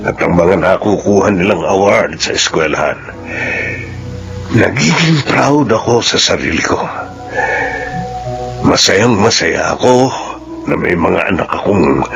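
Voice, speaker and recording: Man acting as low-voiced, earnest middle-aged father. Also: gravelly, exaggerated voice. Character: grateful, humble father graduating late in life. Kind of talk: sentimental family drama acting. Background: music.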